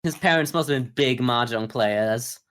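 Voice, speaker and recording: A man's voice: medium pitch, normal speed, a bit bright. A man co-hosting a podcast, giving casual, wry criticism in a discussion of anime. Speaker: podcast co-host.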